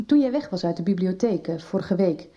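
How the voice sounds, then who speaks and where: An actress playing a mild, frank teacher in a Dutch radio soap. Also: female voice, on the low side, expressive, fairly quick, mellow, recorded from a distance, lo-fi sound, lightly acted. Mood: frank, thoughtful. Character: mild, frank woman school teacher advising a pupil on books.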